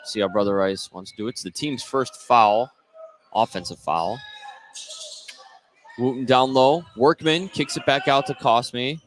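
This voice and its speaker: A man's voice: medium pitch, measured pace. A man doing live play-by-play radio commentary of a basketball game. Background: indoor basketball game, bouncing ball.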